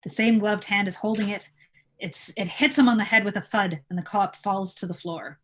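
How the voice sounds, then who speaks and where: A woman narrates screenplay stage directions at a middling pitch. She reads scene headings and action lines in an informal table-read manner, as the narrator among a cast. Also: moderate pace, fairly bright tone, slight background noise.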